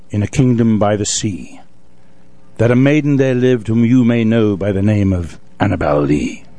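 A man reciting classical poetry theatrically as a wistful, grieving lover, with dramatic, measured storytelling. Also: mid-range voice, warm tone, dry recording, natural voice. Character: wistful, grieving male lover narrating a gothic poem.

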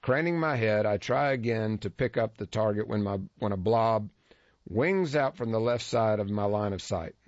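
Low-voiced man reading a wry story. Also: moderate pace, no room sound. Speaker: narrator of a feature story. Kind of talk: scripted first-person narration. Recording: dull lo-fi dry recording.

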